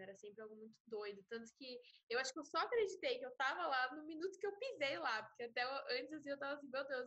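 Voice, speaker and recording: A woman's voice: high voice, sharp tone. A young woman with a Brazilian accent telling stories in a casual, chatty way as a podcast guest, acting out little quotes with slangy fillers. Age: young adult.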